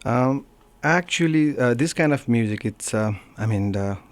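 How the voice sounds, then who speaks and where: A man from Nepal with a Nepali accent, a musician talking casually in an interview, often pausing to search for words. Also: medium pitch, expressive. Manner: halting, searching for words, frequent "you know". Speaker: musician being interviewed, guitarist. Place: radio studio.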